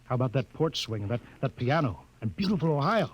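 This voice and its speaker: An actor with an American accent playing a skeptical, authoritative spaceship captain in a 1950s radio drama. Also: male voice, medium pitch, sing-song, fairly quick, slightly rough. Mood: baffled, insistent. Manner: authoritative, clipped orders. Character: commanding, skeptical male spaceship captain insisting on logic. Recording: noisy old 1950s radio recording.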